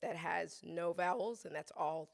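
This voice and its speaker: Gravelly-voiced woman chatting easily. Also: on the low side, a little slow. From born in Ghana, raised in the US South. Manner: chatty, laughs easily, playful. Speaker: chef, podcast guest. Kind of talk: casual conversation.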